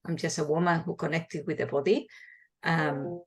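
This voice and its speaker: A woman's voice: low voice, fairly even intonation, normal speed, husky. A woman in her forties from Spain with a Spanish accent, a midwife answering interview questions in a passionate, earnest way, often restarting her words.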